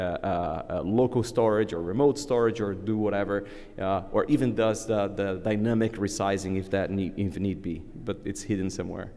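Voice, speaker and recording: A man in his thirties, a native Portuguese speaker, giving a relaxed live technical conference talk at a lectern, with many fillers and restarts. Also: lowish voice, long reverb tail. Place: large conference hall, at a lectern.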